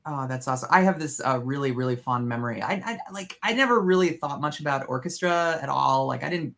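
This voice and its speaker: A woman's voice: extremely low pitch, fast, creaky. A woman in her forties co-hosting a podcast, reminiscing in a wry, reflective way. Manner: reflective, wry, lively intonation. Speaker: podcast co-host. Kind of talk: casual chat.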